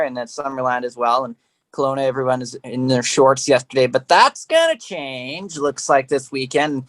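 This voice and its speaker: A man giving casual weather commentary in rambling, loosely linked phrases. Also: on the high side.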